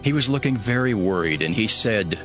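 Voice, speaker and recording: An actor as a low-voiced, earnest ancient governor. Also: male voice, lively intonation, brisk, music in the background, close to an everyday voice. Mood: earnest, measured. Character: steadfast, devout male governor of ancient Jerusalem telling his story. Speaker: first-person narrator. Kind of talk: dramatized scripted narration.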